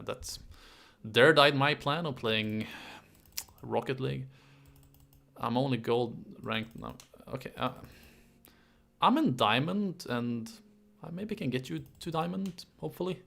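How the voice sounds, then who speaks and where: A man chats casually on a live stream, rambling and halting as he answers viewers. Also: medium pitch, vocal fry, a bit bright. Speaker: YouTube history creator hosting a live stream.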